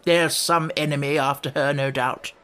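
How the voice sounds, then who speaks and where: A man's voice: a little high, fairly even intonation, normal speed, pushed and bright, clear, resonant tone. A man voicing a mild, fussy old storybook king, nervous and given to muttering and repeating himself. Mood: matter-of-fact.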